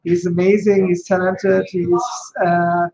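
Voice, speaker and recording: A man's voice: sits high, monotone, unhurried, creaky, a crowd in the background. German-accented man hosting, lo-fi sound. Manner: chatty, storytelling with fillers. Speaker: online co-host. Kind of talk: casual stand-up hosting.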